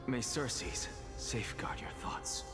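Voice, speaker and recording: A man's voice: medium pitch, animated, takes it slowly, over music. An actor playing an arrogant, intense male scholar who talks in a haughty, commanding way and sighs often. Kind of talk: video game voice acting.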